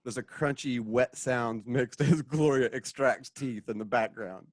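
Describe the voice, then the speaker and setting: A woman's voice: extremely low pitch, unhurried, no echo. A woman narrating the stage directions of an audio drama, reading scripted action and sound cues.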